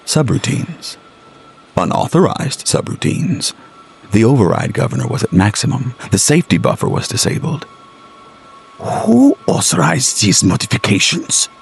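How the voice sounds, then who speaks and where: A man as a proud, gravelly German professor. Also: medium pitch, big pitch swings, pitched above the performer's own voice, exaggerated voice. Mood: alarmed. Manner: formal, measured. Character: proud, troubled German male professor and inventor. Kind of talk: gothic period audio drama acting.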